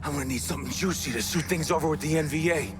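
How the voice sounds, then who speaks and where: An actor playing a treacherous, menacing intelligence officer with a sarcastic edge, in a video game drama. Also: male voice, on the high side, rapid-fire, background noise, close to an everyday voice.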